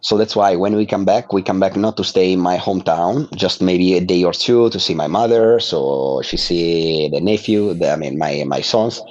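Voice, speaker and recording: A middle-aged man from Italy talks in accented English in a fairly low voice. He pauses often with fillers and sometimes laughs into his words. He sounds like he is on a video call. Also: a little mellow, highs cut off. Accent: Italian. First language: Italian. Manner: hesitant, many uh fillers, laughs while talking. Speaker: guest.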